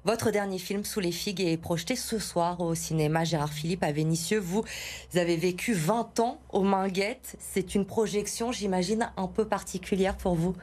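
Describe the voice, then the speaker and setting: A woman's voice: fairly low pitch, normal speed, a little mellow. A woman hosting a broadcast interview, putting questions to her guest and summing up their work. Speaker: television interviewer, host.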